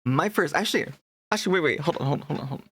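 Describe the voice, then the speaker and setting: A man's voice: a little high, expressive, racing, fairly bright tone, breathy edge, no echo. A young man chatting casually on a live stream, rambling and restarting his sentences as he greets his chat.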